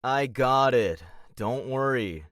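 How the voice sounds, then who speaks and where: A man's voice: medium pitch, expressive, slow pace, fairly bright tone, dry recording. A man playing a sardonic, teasing twenty-two-year-old older brother in an audio drama. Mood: grudging, amused. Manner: dry, teasing.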